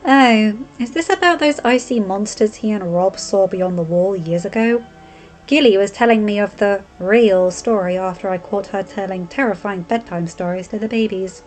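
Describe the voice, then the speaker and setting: A woman voicing a feisty, rebellious young highborn woman who argues sharply and defiantly in a raised, lifted voice. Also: moderate pace, a little mellow, faint music behind, natural voice.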